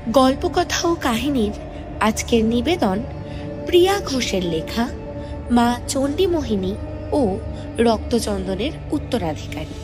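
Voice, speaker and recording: A woman with a Bengali accent introduces an audio story as a scripted, dramatic presenter. Her voice is high and very slow, set over prominent music.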